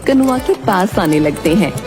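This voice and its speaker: A woman narrator with a mid-pitched voice and very lively intonation. She reads a scripted tale over clear background music. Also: moderate pace.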